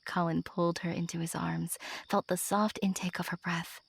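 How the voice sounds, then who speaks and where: A woman narrating a scripted story in a hushed, breathy storytelling manner. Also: on the low side, little pitch movement, moderate pace.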